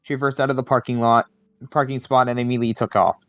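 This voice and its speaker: A man's voice: mid-range voice, flat delivery, fast, a bit bright, no room sound, lo-fi sound. Man reading a story, very dry sound. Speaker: reader of fan fiction on a livestream. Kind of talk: casual amateur story reading.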